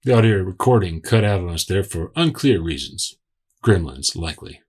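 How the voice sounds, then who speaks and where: A man's voice: deep voice, expressive, normal speed, a little mellow, slightly rough. A man hosting and narrating a trucking news segment, reading scripted lines with dry, wry asides. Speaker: news editor and host.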